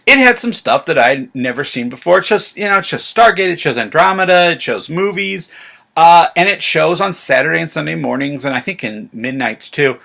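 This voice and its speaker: American man, vlog host, crisp bright edge, creaky voice, informal rambling delivery, excited fan talk. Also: fairly high pitch, moderate pace. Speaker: vlog host and science fiction reviewer. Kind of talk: casual enthusiastic chat to camera.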